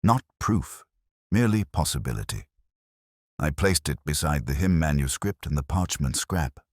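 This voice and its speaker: A man playing a world-weary older detective inspector, narrating a mystery audio drama in a measured, literary, brooding manner. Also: a bass voice, animated, husky, no echo, studio quality, heavily acted.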